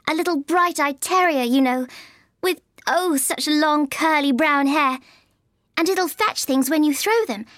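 Male reader as a young girl, storybook heroine, high voice, polite, chatty, soothing, dry sound. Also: lively intonation, measured pace, fairly bright tone, dry recording, full character voice. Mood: eager chatter. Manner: soothing, apologetic, gushing. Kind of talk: storybook character reading.

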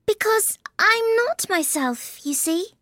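A man's voice: extremely high pitch, lively intonation, takes it slowly, bright, forceful tone, dry recording, studio quality, voice pushed up, heavily acted. A man voicing a shy, polite, flustered little girl in a storybook reading, speaking hesitantly. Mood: shy, confused.

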